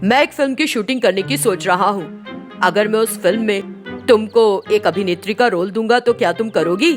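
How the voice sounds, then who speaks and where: A woman's voice: medium pitch, moderate pace, music in the background, natural voice. Woman putting on a direct, confident film director. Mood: interested, commanding. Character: confident male film director scouting talent.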